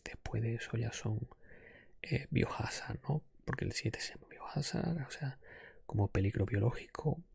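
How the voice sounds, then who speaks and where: A man softly whispering and murmuring an unscripted ASMR chat as a podcast host, close to the microphone. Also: mid-range voice, lively intonation, moderate pace, vocal fry, husky, no room sound.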